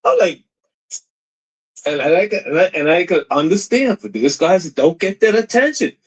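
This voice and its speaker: Brief: male caller, high voice, excited storyteller, lo-fi line. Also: moderate pace, slightly rough. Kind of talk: casual call-in storytelling. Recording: dull lo-fi call audio.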